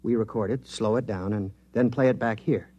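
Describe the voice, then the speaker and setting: A man playing an excitable space-project technician in 1950s science fiction radio drama, talking in a conversational, explanatory way. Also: lowish voice, a little fast, lo-fi sound, lightly acted. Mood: eager, explaining. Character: excitable male space-project technician, chummy, increasingly shaken.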